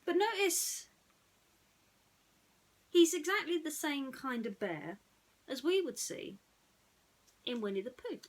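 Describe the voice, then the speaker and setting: A woman's voice: high voice, expressive, slow pace, pauses often. A woman storyteller narrating a bedtime story in a chatty way, putting questions to her listeners. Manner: addresses listeners with rhetorical questions.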